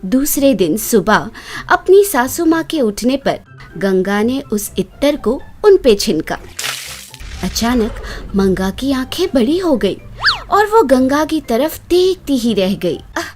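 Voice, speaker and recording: A woman's voice: sits high, sing-song, slow, a little mellow, soft background music. A woman reading scripted narration for a children's moral story.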